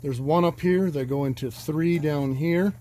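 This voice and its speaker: Rambling mechanic explaining wiring. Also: male voice, a little high, unhurried, mellow, a little hiss or hum. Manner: loose, rambling, with fillers. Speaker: auto mechanic. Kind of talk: casual repair walkthrough. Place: garage, under a truck.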